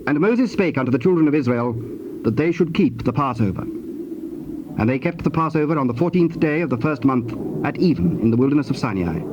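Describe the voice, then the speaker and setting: A man narrating dramatized scripture in a formal, measured manner. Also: mid-range voice, lively intonation. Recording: dull, noisy cassette tape.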